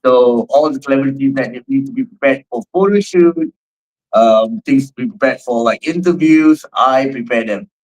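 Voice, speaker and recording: A man's voice: medium pitch, moderate pace, a little mellow, poor audio. Man, 40s, Singaporean accent, rambling interview answers, fitness trainer, plain mid voice. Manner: rambling, many fillers and restarts. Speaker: guest, fitness trainer and gym owner.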